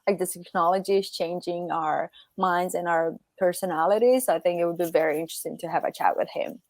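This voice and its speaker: A woman's voice: lowish voice, steady tone, fairly quick, a little mellow, dry recording. Thoughtful Croatian woman guest. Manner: thoughtful, lots of "like" fillers. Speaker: startup founder guest. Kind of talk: casual interview answers.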